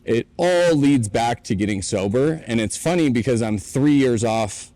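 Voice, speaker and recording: A man's voice: mid-range voice, lively intonation, moderate pace, mellow, no echo. A man in his late twenties, co-hosting a podcast in a warehouse, telling his own story in an earnest, reflective way. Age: 20s. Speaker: podcast co-host. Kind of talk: casual chat.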